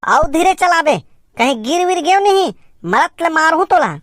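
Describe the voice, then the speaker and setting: Man playing stubborn cartoon villager, high pitched-up voice, Chhattisgarh accent, fussy, insistent, dry sound. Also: animated, normal speed, fairly bright tone, no echo. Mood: bossy. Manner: insistent, repeats words emphatically. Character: stubborn, fussy, argumentative male villager on a picnic. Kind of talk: cartoon comedy dialogue.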